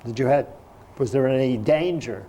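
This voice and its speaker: Mellow-voiced male interviewer. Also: mid-range voice, moderate pace, a little hiss or hum. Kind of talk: interview questions.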